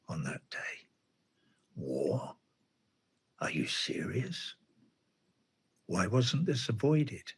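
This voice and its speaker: A man's voice: fairly low pitch, slightly rough. A man delivering a spoken essay monologue in a sardonic tone, often asking himself rhetorical questions. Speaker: commentator.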